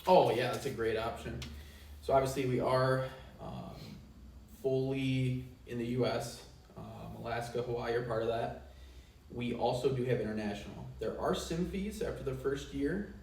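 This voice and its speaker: A man's voice: mid-range voice, normal speed, gravelly, darkish tone. A man co-presenting a casual company Q&A in an informal, agreeable way. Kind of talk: casual Q&A chat. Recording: echoey, distant microphone, faint noise.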